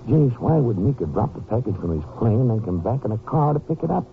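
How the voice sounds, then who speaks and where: An actor playing a watchful, plain-spoken county sheriff with clipped, folksy talk in a 1950s radio western drama. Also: male voice, medium pitch, sing-song, fast, narrow, tinny audio. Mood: watchful, puzzled. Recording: thin, noisy 1950s radio recording.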